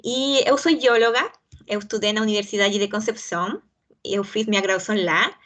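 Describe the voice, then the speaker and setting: Bright-voiced Chilean woman speaking Portuguese. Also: mid-range voice, steady tone, normal speed. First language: Spanish. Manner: hesitant, searching for words. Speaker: guest speaker, geologist. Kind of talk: online lecture.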